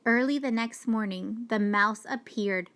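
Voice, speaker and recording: A woman reading a children's storybook aloud with deliberate, expressive storytelling. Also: fairly high pitch. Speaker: storybook reader. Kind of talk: children's read-aloud narration.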